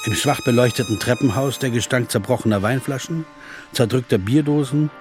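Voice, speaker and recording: An actor playing a determined jazz pianist who narrates in the first person and speaks in scenes of a radio play. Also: male voice, mid-range voice, a little fast, faint music behind, natural voice. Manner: urgent, persuasive.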